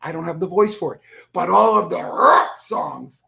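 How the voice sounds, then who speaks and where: A man with a froggy, rough voice telling stories as an energetic interview guest, acting out the quotes. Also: high voice, moderate pace. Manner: energetic storyteller who acts out quotes. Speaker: guest, keynote speaker and author. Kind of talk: animated interview talk.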